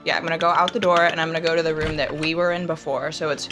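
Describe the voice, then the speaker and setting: Low-voiced woman playing a tabletop game. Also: fairly even intonation, racing. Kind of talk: casual improvised roleplay. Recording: music laid under the voice.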